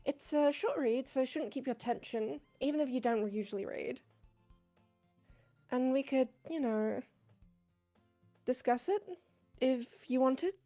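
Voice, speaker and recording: A woman's voice: sits high, unhurried, pauses often, dark tone, faint music behind. A woman voicing a timid, bookish teenage girl who hesitates and stammers. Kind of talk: fan voice acting of a visual novel.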